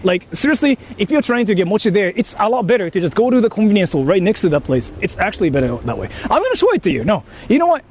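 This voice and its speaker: High-pitched Japanese man livestreaming outdoors. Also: slight background noise. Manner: animated, repetitive, halting phrasing. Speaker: live-streamer. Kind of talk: casual livestream chat to viewers. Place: outdoors in a city.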